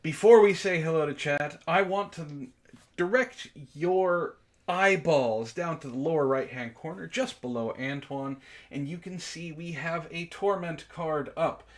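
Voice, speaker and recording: A man's voice: fairly high pitch, unhurried. A man hosting a book club livestream in casual chat, jokey and playfully theatrical when he announces things.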